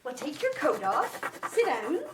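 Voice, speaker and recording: A woman's voice: sits high, big pitch swings, unhurried, crowd noise. An actress playing an overwrought village mother of grown daughters, histrionic and self-pitying, in a radio drama. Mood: scolding. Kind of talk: emotional radio drama acting.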